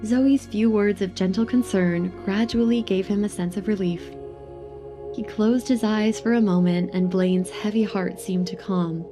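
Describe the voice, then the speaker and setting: A woman's voice: medium pitch, a little slow, music in the background. A woman narrator reading a scripted third-person romance story for an audio drama.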